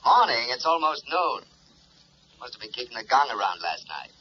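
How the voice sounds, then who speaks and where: An actor playing a brusque, bossy city editor in a vintage radio drama. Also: male voice, mid-range voice, big pitch swings, normal speed, husky. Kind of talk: 1940s radio drama acting. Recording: muffled, lightly noisy old radio recording.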